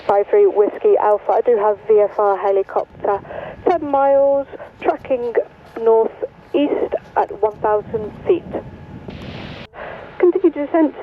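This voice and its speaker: A woman's voice: fairly high pitch, measured pace. A woman air traffic controller giving clipped, procedural talk-down instructions over aviation radio. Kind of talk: radar talk-down radio phraseology. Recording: tinny, noisy aviation radio transmission.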